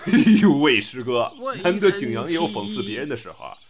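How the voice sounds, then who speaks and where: A man's voice: mid-range voice, sing-song, brisk, a crowd in the background. A man playing a brash young comedian who banters sarcastically in an audio drama. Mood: teasing. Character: brash, ribbing young male crosstalk comedian.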